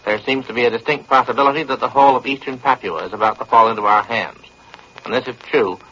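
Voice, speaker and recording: A man giving wartime news commentary in a measured, grave manner. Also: mid-range voice, bright, forceful tone, husky. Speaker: news correspondent. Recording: muffled 1940s shortwave radio broadcast.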